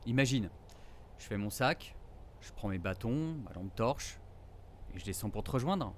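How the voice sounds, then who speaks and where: An actor playing a teasing, affectionate forest ranger in a naturalistic audio drama, with casual, slangy delivery. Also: male voice, on the low side, lively intonation, unhurried, slight background noise.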